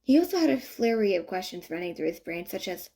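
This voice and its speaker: A woman narrating a written story in an expressive, informal and wry way. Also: mid-range voice, fast. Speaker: fan fiction narrator.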